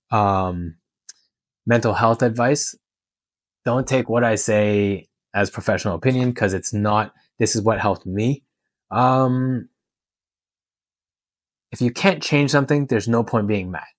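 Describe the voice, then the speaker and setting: A man's voice: medium pitch, a little slow, long pauses, no room sound. A man from Canada and Hong Kong talking candidly and informally in a question-and-answer vlog. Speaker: tattoo artist and vlogger.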